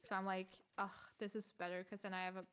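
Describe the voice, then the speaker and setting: This is a woman with a German accent, speaking English as her second language. She's a streamer in a loose, animated chat, laughing often and swearing casually. Her voice sits at an ordinary middle pitch. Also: quick pace, clear, resonant tone.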